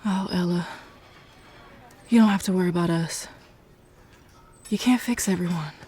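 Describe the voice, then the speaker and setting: A woman's voice: fairly low pitch, moderate pace, mellow, husky. A woman acting a pregnant young wife in an audio drama, loyal and stubbornly hopeful. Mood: resolute, reassuring.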